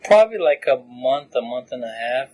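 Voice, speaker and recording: A man in his late twenties from Romania, a graduate student answering interview questions in a relaxed, chatty way with frequent fillers and laughs. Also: medium pitch, moderate pace, a crowd in the background, rough recording. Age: 20s. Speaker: podcast guest, PhD student.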